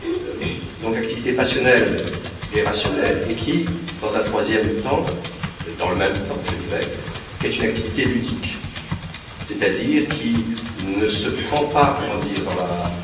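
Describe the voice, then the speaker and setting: Male translator, hesitant and reflective, low voice, distant mic with music behind. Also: expressive, normal speed. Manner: hesitant, many fillers and restarts, thoughtful. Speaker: theatre translator. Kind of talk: reflective spoken talk. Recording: very echoey room, from far off, with music behind.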